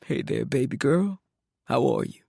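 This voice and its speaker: A woman voicing a gentle older widowed father speaking softly and tenderly to his daughter. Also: expressive, unhurried, gravelly, breathy edge, dry recording, studio quality, heavily acted. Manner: soft, low, tender.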